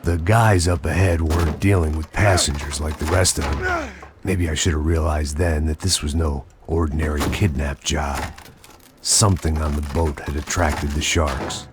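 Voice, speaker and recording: An actor playing a world-weary middle-aged ex-cop, narrating a video game in a gruff, stumbling, regretful voice. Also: male voice, a bass voice. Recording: game cutscene with loud noise.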